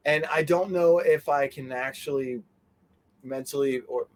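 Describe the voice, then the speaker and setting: A man being interviewed, chatting informally and haltingly, often breaking off his own sentences. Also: mid-range voice. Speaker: interview guest. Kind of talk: casual conversational interview chat.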